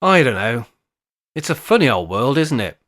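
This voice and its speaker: Radio play role: older English male resident, sly and dryly jokey, medium-pitched man performing. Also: very animated, normal speed, very noisy, lightly acted. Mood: rueful, reflective. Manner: jokey, mock-grand turns of phrase. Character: wry, scheming older man, a care home resident. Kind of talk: amateur radio play acting.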